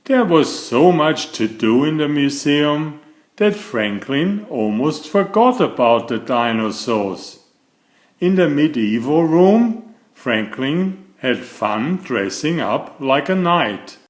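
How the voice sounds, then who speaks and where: A man reading a children's picture book aloud in a deliberate, expressive storytelling manner. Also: a little high, reverberant.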